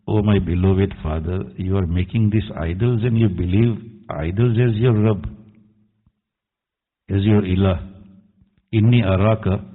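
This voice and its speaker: A man preaching an earnest, admonishing sermon as imam, quoting Arabic verses. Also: lowish voice. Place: mosque hall. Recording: tinny echoey hall recording.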